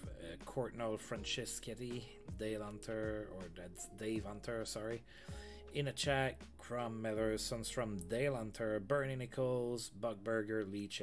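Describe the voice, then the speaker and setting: A man with a fairly low voice talks through a management game live, chatty and relaxed as he reads out news items and adds his own asides. Soft music plays faintly behind him. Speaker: game streamer. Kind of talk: casual let's-play commentary.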